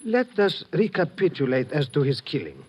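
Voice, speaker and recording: Formal police lieutenant, higher voice. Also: male voice, wildly swooping pitch, darkish tone. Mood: grave, analytical. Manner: formal, deliberate, precise phrasing. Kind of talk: 1950s radio drama acting.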